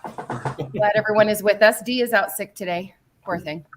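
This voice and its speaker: A woman's voice: mid-range voice, normal speed, a crowd in the background. A woman hosting a casual podcast chat from a house in the countryside, rambling along with lots of fillers and frequent laughter. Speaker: podcast host. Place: house in a dry rural area.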